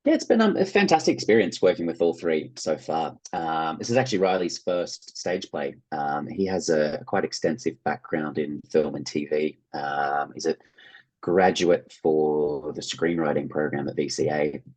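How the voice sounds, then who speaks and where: A man's voice: a bass voice, lively intonation, normal speed, darkish tone, poor audio. An Australian man speaking casually as an interview guest, rambling and hedging as he goes. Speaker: interview guest, playwright and actor.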